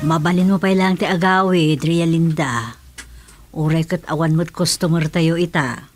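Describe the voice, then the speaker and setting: A woman's voice: deep voice, steady tone, measured pace, faint music behind. An actress playing a kindly older woman with an Ilocano accent in a radio comedy drama, speaking in a motherly, conversational way. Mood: easygoing.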